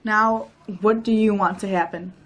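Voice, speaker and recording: A firm, formal teenage girl mediating a dispute played by a female student. Her pitch is average for a young woman and her manner is procedural. Some low room noise is audible. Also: steady tone, normal speed, a bit bright, natural voice. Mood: businesslike. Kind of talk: scripted role-play dialogue. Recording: noisy room ambience.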